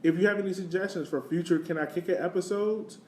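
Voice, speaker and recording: A man's voice: high-pitched, steady tone, fast talker, a little mellow. An American man co-hosting a podcast, chatting casually about sports. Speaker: podcast co-host. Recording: roomy, distant mic, faint background noise.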